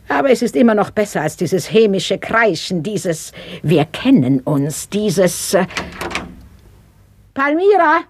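Proud old lady, swooping and slow. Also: lowish voice, breathy edge, exaggerated voice. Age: older. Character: proud, pious old mistress of the house, easily aggrieved. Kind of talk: 1960s German radio play acting.

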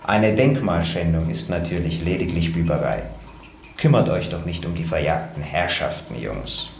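An actor playing an earnest socialist comrade who speaks in a formal, schoolmasterly, lecturing way in a radio drama. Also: male voice, deep voice, moderate pace, vocal fry. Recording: roomy echo, faint background noise.

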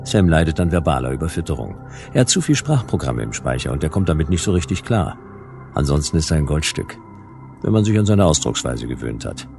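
An actor playing a wry, world-weary private detective in a sci-fi radio drama, with laconic, deadpan narration and dialogue. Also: male voice, very deep voice, vocal fry, full character voice.

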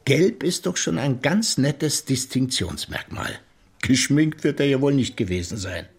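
An actor playing a probing police detective in a radio drama, with a dry, ironic and deliberate manner. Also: male voice, medium pitch, expressive, creaky, slightly rough, heavily acted. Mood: wry, musing. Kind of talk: German radio play acting.

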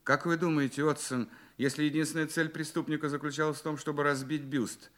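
An actor playing a shrewd, self-assured male detective in a radio play, musing deliberately and courteously, often repeating his words. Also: a little high, flat delivery, a bit bright, close to an everyday voice. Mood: analytical. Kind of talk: Russian radio play acting.

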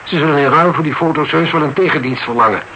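A man's voice: on the high side, fast, sharp tone. An actor in a radio drama playing a cynical, blunt older businessman with a formal, haughty manner. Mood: defiant, cynical. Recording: noisy radio drama recording.

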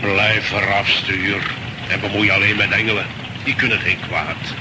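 An actor playing a bossy, grumbling ship's master in a Dutch radio play. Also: male voice, on the low side, fairly even intonation, normal speed, lightly acted. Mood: gruff, commanding. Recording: very noisy old radio recording.

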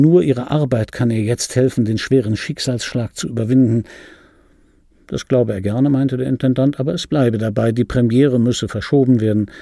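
An actor playing a bitter middle-aged dubbing actor, telling his life in a wry, gravelly, husky voice in for a radio play. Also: mid-range voice, mellow, no echo, clean studio sound. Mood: indignant, wry. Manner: wry, conversational, self-interrupting. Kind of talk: radio play monologue, intimate storytelling.

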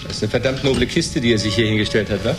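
An actor playing a boastful, hard-drinking former student tinkerer in a German radio play, colloquial and halting. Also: male voice, fairly low pitch, close to an everyday voice. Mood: casual. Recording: 1980s radio drama with music.

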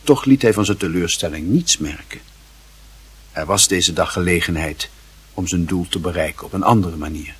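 A man's voice: deep voice, moderate pace, husky, no echo. A man reading scripted literary narration as the narrator of a radio drama.

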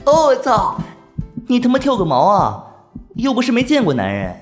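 A man's voice: extremely high pitch, wildly swooping pitch, normal speed, full character voice. A man playing a flustered, easily smitten young homebody in an audio drama, speaking hesitantly and stammering. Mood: flustered, agitated. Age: young adult. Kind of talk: Chinese audio drama acting with inner monologue. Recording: music under the voice. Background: music.

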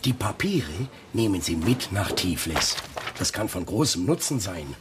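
A man's voice: low voice, very animated, measured pace, noisy background. An actor playing a reassuring, formal and matter-of-fact male colleague in a radio drama. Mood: reassuring, businesslike. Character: businesslike, reassuring male colleague handing over documents.

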